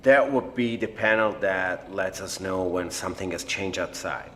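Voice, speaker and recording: A man playing a reflective, apologetic scientist in an audio drama, explaining things in a measured, hesitant way. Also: low-pitched, a bit bright, a little hiss or hum. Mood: focused. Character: blind male scientist, reflective, apologetic, technical project doctor.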